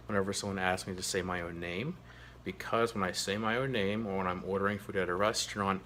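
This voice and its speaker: Very low-voiced man who stutters. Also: fairly bright tone, slight background noise. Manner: halting, many ums and uhs; earnest and open. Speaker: teacher. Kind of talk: personal story told to camera.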